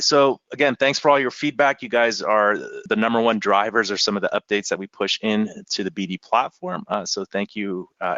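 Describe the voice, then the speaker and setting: A man hosting a software training webinar, talking in a relaxed way with frequent fillers. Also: on the low side, no room sound, lo-fi sound. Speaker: webinar host.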